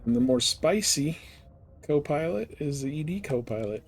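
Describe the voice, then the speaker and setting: A man's voice: fairly high pitch, lively intonation, moderate pace, slightly rough. A man giving casual live gameplay commentary with a dry, wry manner. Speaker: game live streamer. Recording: noisy game stream.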